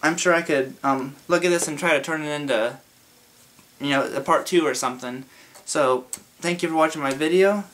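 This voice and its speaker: A man with a sore throat teaching a casual guitar lesson, explaining slowly and step by step in a laid-back way. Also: a little high, fairly bright tone. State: sore throat from being sick. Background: his own guitar playing.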